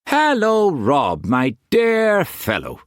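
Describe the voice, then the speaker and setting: A man's voice: on the high side, huge pitch swings, takes it slowly, no room sound, studio quality, pitched above the performer's own voice, exaggerated voice. A man voicing a flamboyant, theatrical old male painter who talks in grand exclamations. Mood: wheedling.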